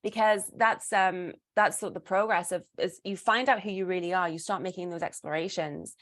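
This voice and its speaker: A woman with a British accent talks in an animated, conversational way as a guest. She makes her points emphatically and often slips into little imagined exchanges to illustrate them. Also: lowish voice, normal speed. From Britain. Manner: emphatic, acts out imagined dialogue. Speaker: guest, performer and burlesque teacher. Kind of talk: animated conversational interview talk.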